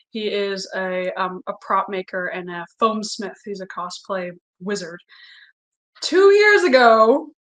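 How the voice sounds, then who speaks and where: A woman's voice: fairly high pitch, a bit bright, low-quality recording. A young woman singer as an interview guest, talking openly in casual chat and laughing often. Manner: open, chatty, laughs often.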